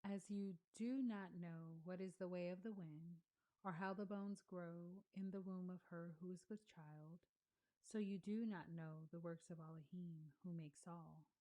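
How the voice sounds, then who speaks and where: A woman giving a slow, measured read-along of scripture, pausing between phrases. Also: lowish voice, steady tone, mellow, low-quality recording. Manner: measured, deliberate reading with pauses. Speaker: scripture reader.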